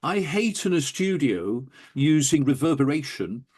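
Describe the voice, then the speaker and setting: Higher-pitched man, chatty storyteller. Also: sing-song, measured pace, darkish tone. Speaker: interview guest, audiophile recording producer. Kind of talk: animated anecdotal interview talk.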